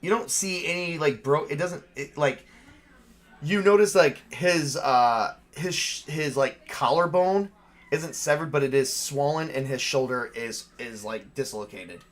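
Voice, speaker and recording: A man's voice: on the high side, measured pace. A man acting as game master, narrating and improvising a tabletop game in a casual, profane, informal way.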